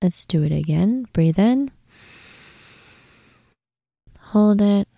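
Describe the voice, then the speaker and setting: Slow, very mellow woman guiding meditation. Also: medium pitch, lively intonation, creaky, no room sound. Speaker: guided meditation host. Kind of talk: guided meditation, soothing instruction.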